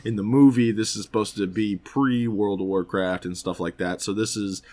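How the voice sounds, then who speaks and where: Male co-host, lowish voice. Informal, meandering, slangy fan talk with profanity and detailed opinions. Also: fairly even intonation, moderate pace, a little mellow. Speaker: podcast co-host. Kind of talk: casual chat about films and games.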